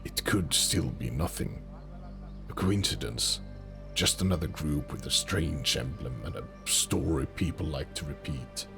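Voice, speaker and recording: A man narrates a fantasy story slowly and deliberately. His voice is extremely deep with a gravelly rasp. Music plays clearly beneath him. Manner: slow, deliberate, brooding. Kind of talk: dramatic fantasy audiobook narration.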